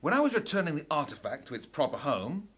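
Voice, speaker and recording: A man playing an aging, protective Victorian professor in a radio drama, measured and authoritative. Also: on the high side, big pitch swings, fairly bright tone. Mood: cautious. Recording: roomy, set back from the mic.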